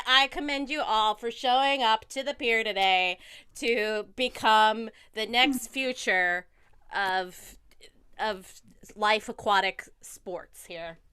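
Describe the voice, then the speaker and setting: A woman's voice: sits high, pushed and bright, clear, resonant tone. A woman improvising a comedy scene over a video call, talking in a flustered, stammering way. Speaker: improv performer.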